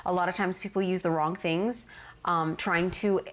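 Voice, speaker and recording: Woman skincare vlogger, lowish voice, a bit stuffed up from a cold, earnest explainer. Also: steady tone, normal speed. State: nasal from a cold. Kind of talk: casual instructional talk.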